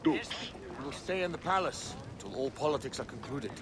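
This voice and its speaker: An imperious Roman ruler, acted by a man in a game cutscene. His mid-pitched voice is deliberate and widely inflected, ringing with heavy echo in a large hall. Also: animated, close to an everyday voice. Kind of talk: video game cutscene acting. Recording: echoing large hall, faint noise.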